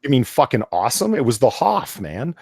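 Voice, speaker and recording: A man in a casual chat, with pitch that swings widely and a close, dry sound. He swears freely and tends to exclaim. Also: big pitch swings, fairly quick, gravelly, a little mellow, husky, dry recording.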